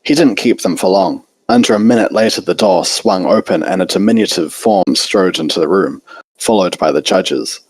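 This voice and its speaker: A man's voice: low-pitched, normal speed, slightly rough, low-quality recording. A man reading a fan fiction story aloud as its narrator.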